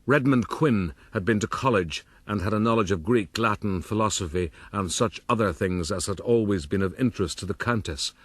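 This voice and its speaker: A man playing a scheming, self-pitying gentleman rogue who narrates his own life in a formal, self-justifying way for a radio drama. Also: fairly low pitch, moderate pace, hardly any pauses, slightly rough, no room sound. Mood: smug, measured.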